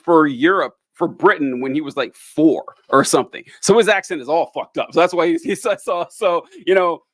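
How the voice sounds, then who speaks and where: Rambling male podcast host, fairly high voice. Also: fairly quick, no room sound, clean studio sound. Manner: rambling, many fillers, swears casually. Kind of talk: casual chat.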